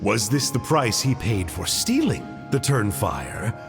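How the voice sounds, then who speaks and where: A man's voice: fairly low pitch, sing-song, a little slow, long reverb tail, over music. A man voicing a quirky, genteel man in a game, speaking in a folksy, theatrical and polite way. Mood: curious. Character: quirky genteel man called Uncle Glasses. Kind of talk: game dialogue voice acting.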